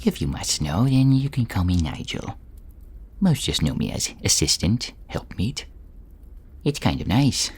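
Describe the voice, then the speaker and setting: A man voicing a meek, chatty dungeon servant with a hushed, throat-burnt rasp and a hesitant, self-effacing manner in an audio role-play. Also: low voice, very animated, a little slow, full character voice. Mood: gossipy, hesitant.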